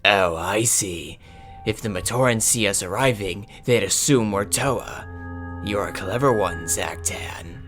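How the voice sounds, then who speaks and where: A man playing a greedy villain henchman in an animated fan dub, dry and teasing. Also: on the low side, slow. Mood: wry, scheming. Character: wry, greedy villain henchman with mocking humour. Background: music.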